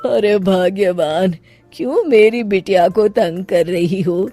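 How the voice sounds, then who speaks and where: A soft-hearted middle-aged dad in a cartoon family tale, played by a woman. The voice is pitched down and protests slowly on his daughter's behalf, over light music. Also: no room sound. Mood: gently protesting. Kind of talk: animated moral story voice acting.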